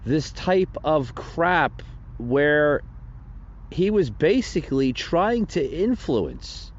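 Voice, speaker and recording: A man's voice: a little high, big pitch swings, extremely slow, muffled recording. Rambling, indignant male podcast host. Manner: rambling and repetitive, with many fillers and restarts; indignant and preachy. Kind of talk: solo political commentary.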